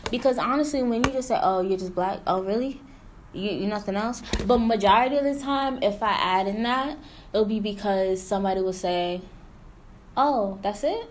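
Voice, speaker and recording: A woman's voice: fairly high pitch, slight background noise. A woman talking bluntly and candidly in a casual chat, with slang and frequent murmured agreement.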